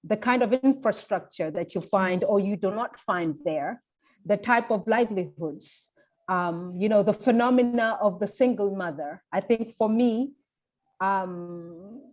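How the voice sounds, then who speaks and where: A woman with a Tanzanian accent, speaking in a measured, deliberate, explanatory way in a reflective interview. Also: mid-range voice, darkish tone. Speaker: interviewee, feminist public intellectual. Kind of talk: reflective oral history interview.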